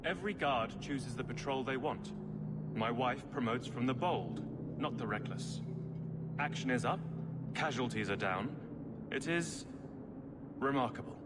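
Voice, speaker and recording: A man's voice: medium pitch, expressive, a little slow, long pauses, natural voice. A man playing a courteous, devoted husband in a video game cutscene, speaking formally and admiringly. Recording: clearly noisy background ambience.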